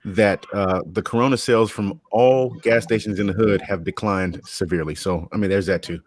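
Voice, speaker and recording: A man's voice: fairly low pitch, fairly even intonation, a little fast. A man co-hosting a casual chat, laid-back and laughing often as he tells stories. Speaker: podcast co-host.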